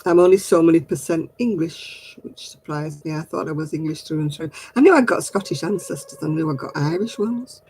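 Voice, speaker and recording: A man's voice: fairly high pitch, a little fast, few pauses, a little mellow, a little hiss or hum, poor audio. A middle-aged man, hosting a casual craft livestream and chatting with viewers in a giggly, rambling way. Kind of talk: casual chat answering viewer comments.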